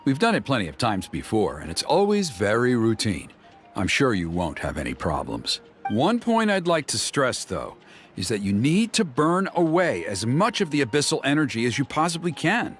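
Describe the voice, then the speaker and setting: A courteous, smooth-talking envoy. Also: male voice, medium pitch, huge pitch swings, normal speed, faint music behind. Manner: courteous, gently persuasive.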